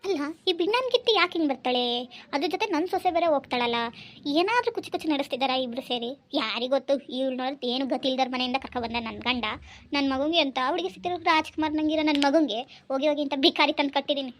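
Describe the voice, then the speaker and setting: A woman playing a nagging middle-aged mother-in-law who scolds loudly and grumbles to herself. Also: sits high, fast, close to an everyday voice. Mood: scolding.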